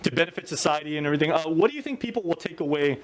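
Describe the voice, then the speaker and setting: A man interviewing a guest, talking quickly with frequent fillers. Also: on the high side, expressive. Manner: fast, with many "you know" fillers. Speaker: host.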